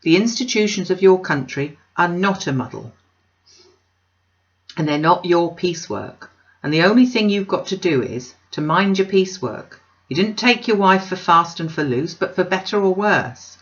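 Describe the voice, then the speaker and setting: A woman's voice: fairly low pitch, expressive, moderate pace, dull audio. A woman voicing a pompous, self-satisfied wealthy man, emphatic and dismissive, in a storybook reading.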